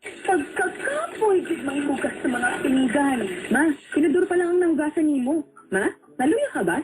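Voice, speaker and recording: A woman's voice: high voice, sing-song, normal speed, very noisy. A woman with a Cebuano Filipino accent acting an exclamatory, peppy female character in dramatised radio advertising. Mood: concerned, peppy. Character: lively, high-voiced female radio commercial character.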